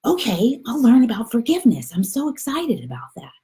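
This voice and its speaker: A woman's voice: medium pitch, unhurried, creaky, slightly rough, voice pushed up, exaggerated voice. A woman voicing an eager, wide-eyed little boy soul from heaven in a children's story. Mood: excited. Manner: excited, wide-eyed, gushing questions.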